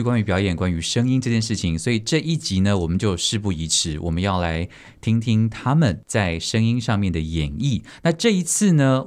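Low-voiced Taiwanese man hosting a podcast. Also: darkish tone. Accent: Taiwanese Mandarin. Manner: chatty, mixes in English words. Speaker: podcast host. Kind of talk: casual talk show hosting.

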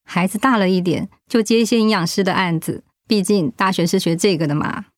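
Radio play: woman cast as an attentive, well-off middle-aged wife, gentle delivery, medium pitch, close dry studio sound. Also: fairly quick, dry recording, natural voice. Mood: nostalgic. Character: kind, attentive middle-aged well-off housewife, old friend. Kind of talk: radio drama acting, conversational.

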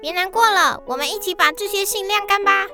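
Very high, bright little bird. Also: female voice, a little fast, soft background music. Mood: kind, encouraging. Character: kind, encouraging small animal townsfolk, bird and duckling. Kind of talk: children's audio drama acting.

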